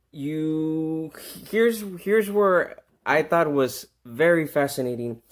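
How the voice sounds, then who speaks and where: A man talking casually through an unscripted product demonstration, conversational, repeating himself and stressing key words. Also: a little high. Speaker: product reviewer.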